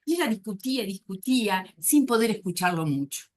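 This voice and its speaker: A woman presents to a panel in an academic, reflective way. Her pitch moves a lot, rising and falling as she reaches for words, with frequent small hesitations. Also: animated, brisk, darkish tone. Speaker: psychoanalyst panelist. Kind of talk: academic conference talk.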